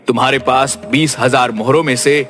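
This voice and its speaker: A man voicing a stern but fair king who gives judgments in a cartoon story, speaking in a measured, commanding way. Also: medium pitch, fairly even intonation, fairly bright tone, soft background music, lightly acted. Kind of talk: dubbed cartoon acting.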